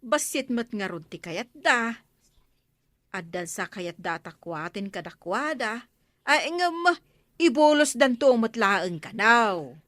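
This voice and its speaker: A woman's voice: a little high, animated, slow, a bit bright, dry recording. A woman playing a matter-of-fact village official with an Ilocano accent in a radio comedy drama. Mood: explaining.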